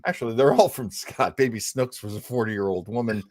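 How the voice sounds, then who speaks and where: A man's voice: mid-range voice, expressive, a little fast, a little mellow, no echo, studio quality. A man hosting a casual live show, chatting in a jovial, folksy way with exclamations. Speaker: show host.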